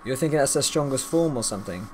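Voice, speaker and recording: A man's voice: medium pitch, expressive, fast, darkish tone, slight background noise. A man giving casual, chatty live commentary on a video game, reacting aloud and talking to his viewers. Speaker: streamer. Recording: game music behind the voice. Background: video game music and sound.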